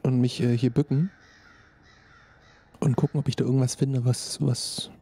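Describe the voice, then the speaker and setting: A man with a dark, somewhat raspy voice plays a tabletop game. He improvises haltingly, switching between casual talk and formal in-character lines. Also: mid-range voice, flat delivery. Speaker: player in tabletop role-play.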